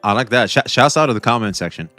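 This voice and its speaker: A young man hosting a casual reaction stream, talking back to a video with excited, repetitive commentary. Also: medium pitch, fast talker, no room sound, faint music behind. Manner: excitable, talks back to the video, repeats himself.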